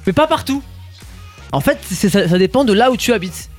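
High-voiced chatty man on radio. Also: animated, fairly quick, faint music behind. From Alsace, France. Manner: chatty, explains figures with asides. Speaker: radio show co-host. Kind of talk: casual morning radio chat.

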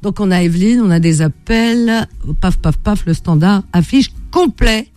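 A woman hosting a radio call-in show, friendly and brisk with her callers. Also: medium pitch, mellow, clear, resonant tone.

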